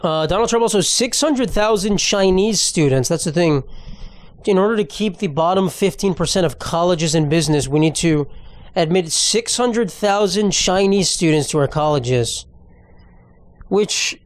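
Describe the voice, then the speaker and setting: A man's voice: high voice, moderate pace. A man hosting a podcast alone, rambling and ranting in a casual, profane way. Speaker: podcast host. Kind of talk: casual solo podcast monologue.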